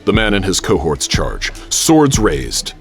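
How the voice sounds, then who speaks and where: An actor playing an adventurous, wary gentleman professor, telling his exploits in measured, literary radio theater narration. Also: low-pitched, huge pitch swings, heavily acted. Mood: dramatic. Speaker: narrator and lead character. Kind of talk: radio theater, dramatic first-person narration. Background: music.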